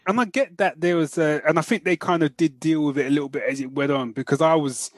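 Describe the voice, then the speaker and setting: Higher-pitched British man chatting casually. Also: quick pace, dry recording, clean studio sound. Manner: animated, slangy, often addresses listener as man. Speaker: podcast host. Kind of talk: casual chat, enthusiastic review.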